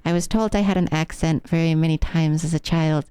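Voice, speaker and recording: A woman's voice: sits low, fairly even intonation, a little fast, mellow, clear, resonant tone, no echo. An American woman with a slight speech impediment and a trained, affected silly voice, casually commentating a game as a live streamer, musing and easily amused. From United States, Rocky Mountain area. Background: video game music.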